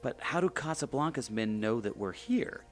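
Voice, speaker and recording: A man's voice: mid-range voice, expressive, moderate pace, soft background music, close to an everyday voice. Male actor for wary, wisecracking adventure enthusiast in audio drama; mid-range everyday voice. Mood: questioning. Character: skeptical, sarcastic male adventure enthusiast, wary buddy type.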